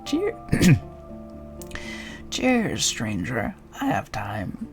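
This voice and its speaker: Male performer as elderly rustic woman, fantasy game character, gravelly, expressive pitch, plain-spoken, folksy turns of phrase, music behind. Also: extremely slow, full character voice. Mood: curt greeting. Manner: folksy phrasing with tag endings. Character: elderly village woman digger, steady, matter-of-fact, rustic.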